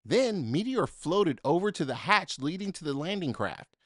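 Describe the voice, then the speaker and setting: Animated man reading a children's storybook. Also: a little high, no echo, clean studio sound. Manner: dramatic, deliberate phrasing with emphasis for young listeners. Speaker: storybook reader. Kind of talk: expressive children's story read-aloud.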